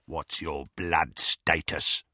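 Slow rasping, growling werewolf thug. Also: male voice, a bass voice, little pitch movement, sharp tone, no room sound, studio quality, voice pushed down, heavily acted. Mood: sinister relish. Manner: slow, relishing, menacing. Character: savage gloating male werewolf thug, menacing predator.

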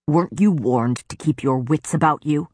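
A woman reading the lines of a stern male faerie lord in a low, clipped, scornful voice. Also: normal speed, a little mellow, husky.

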